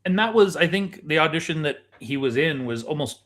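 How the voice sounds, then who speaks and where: Male co-host and producer type, moderately high pitch, emphatic and instructive, conversational delivery. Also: animated, fairly quick, a bit bright. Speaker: podcast co-host, audio drama producer and director. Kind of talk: conversational advice.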